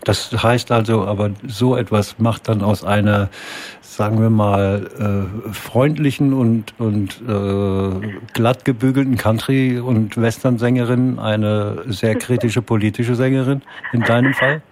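A man hosting a radio interview, prompting his guest in a relaxed, conversational way. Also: fairly low pitch, unhurried, few pauses, a little hiss or hum. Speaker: radio host.

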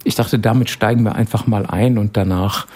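A man hosting a relaxed radio magazine from a studio, chatty and full of fillers. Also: on the low side, a little fast. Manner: chatty, hesitant with many fillers. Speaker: radio host.

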